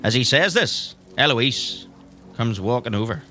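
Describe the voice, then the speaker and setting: A man's voice: medium pitch, lively intonation, measured pace, pushed and bright, soft background music. A man narrating a tabletop roleplay game as its game master.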